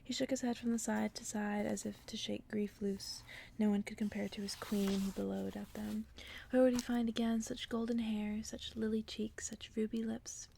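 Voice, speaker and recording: A woman speaking in a soft, hushed whisper in the style of an ASMR bedtime reading. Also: medium pitch, flat delivery, normal speed, vocal fry, slight background noise. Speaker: ASMR creator reading stories to listeners.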